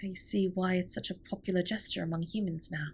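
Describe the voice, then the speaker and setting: A woman playing a formal, earnest, caring alien spaceship captain in a scripted comfort roleplay, speaking softly and breathily with measured, precise wording. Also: lowish voice, steady tone, a little hiss or hum, close to an everyday voice. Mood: tender, pleased. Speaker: audio roleplay performer. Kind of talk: scripted comfort roleplay, intimate one-sided dialogue.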